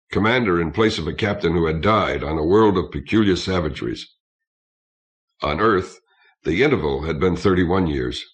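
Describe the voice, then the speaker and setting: A man reading science fiction as a scripted audiobook narration, in a measured, literary way. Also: low-pitched.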